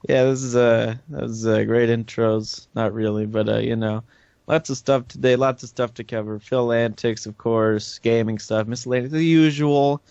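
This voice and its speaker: A man's voice: medium pitch, steady tone, moderate pace, gravelly, a little mellow, clear, resonant tone, no echo, lo-fi sound. A man hosting a casual gaming podcast, hesitant and teasing, with lots of "uh" between phrases. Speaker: podcast host.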